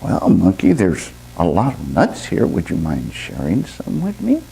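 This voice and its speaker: A performer voicing a wise male owl in a storytelling session, calm, measured and reasonable, gently giving advice. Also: low-pitched, vocal fry, slightly rough, pitched below the performer's own voice, exaggerated voice, rough recording. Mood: calm, patient.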